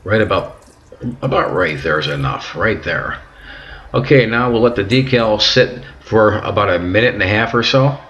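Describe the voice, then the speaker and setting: A middle-aged man giving calm, patient step-by-step instructions at home, often repeating words and checking in with "okay". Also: lowish voice, normal speed, lo-fi sound. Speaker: instructor demonstrating decal application. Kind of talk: step-by-step how-to narration. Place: home kitchen and workbench indoors.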